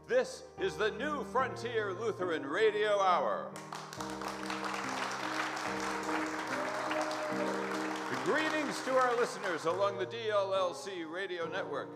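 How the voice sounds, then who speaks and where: A man's voice: sits high, expressive, moderate pace, hardly any pauses, in a large hall, music in the background. A man playing a folksy, pompous announcer on an old-time church radio show, with flowery phrasing. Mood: grand, announcing.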